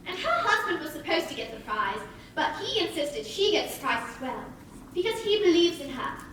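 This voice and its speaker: A woman's voice: very high voice, very animated, normal speed, fairly bright tone, heavily acted. An actress playing a spirited, defiant young girl in a theatrical stage play, earnest and indignant. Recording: echoey stage recording, distant mic, faint noise.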